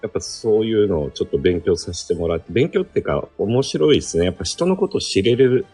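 A man chatting casually as a guest on a live audio show, politely and haltingly, thinking aloud as he goes. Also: fairly low pitch, animated, dark tone, breathy edge. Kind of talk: casual chat. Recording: background music under the voice.

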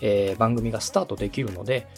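A man's voice: fairly low pitch, fast talker, gravelly, darkish tone. A man in his thirties from Osaka hosting a podcast, explaining things politely and step by step to a co-host. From Osaka, Japan. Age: 30s. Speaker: podcast host and radio director. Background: music.

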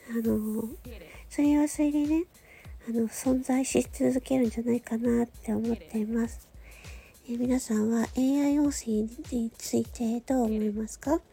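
Female solo radio host; high-pitched, mellow, breathy delivery, unscripted and conversational. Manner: conversational, thinking aloud, frequent soft agreeing particles. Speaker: host of a solo audio show. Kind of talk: casual monologue on a chosen theme.

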